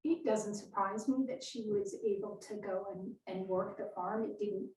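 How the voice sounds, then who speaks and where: A woman's voice: mid-range voice, fairly even intonation, dark tone. Distant woman in an echoey room. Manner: halting, many "um" fillers. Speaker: historical society member commenting. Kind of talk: informal remarks in a Q&A. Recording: distant, roomy, faint background noise.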